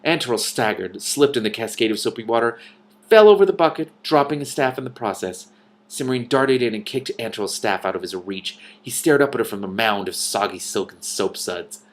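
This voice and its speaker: A man's voice: medium pitch, lively intonation, fairly quick. A man reading a storybook aloud expressively, with casual commentary. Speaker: online book reader and commentator.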